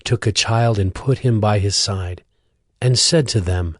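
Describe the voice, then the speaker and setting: A man narrating scripture from a script as a measured storyteller. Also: lowish voice, no echo, studio quality. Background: music.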